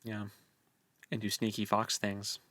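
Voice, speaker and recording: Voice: mid-range voice, monotone, measured pace, a bit bright, dry recording. A playful, teasing co-host of a book podcast, chatting casually. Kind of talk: casual book-club chat.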